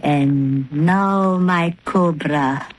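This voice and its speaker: An old radio play villainess, snake charmer, low slow menacing voice, theatrical. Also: female voice, heavily acted. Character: cunning woman snake charmer, villainous and proud. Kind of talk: 1930s radio drama acting.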